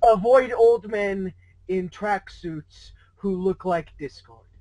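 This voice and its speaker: High-voiced man, dry close sound. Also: slow pace, dark tone, no room sound, poor audio. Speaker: co-host of a fan fiction reading show. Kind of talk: casual joking commentary.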